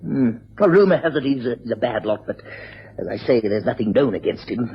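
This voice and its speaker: A man's voice: mid-range voice, brisk, vocal fry, husky. An actor playing a plain-spoken, cautious but helpful gossipy barman in a radio drama, using clipped colloquial phrases. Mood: confiding. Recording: muffled radio recording.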